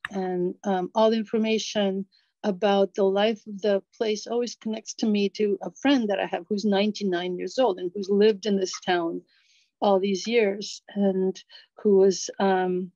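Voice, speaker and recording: A middle-aged woman from Bulgaria sharing a thoughtful, unhurried comment during a community discussion. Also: medium pitch, fairly even intonation, warm tone, dry recording, lo-fi sound. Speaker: audience member.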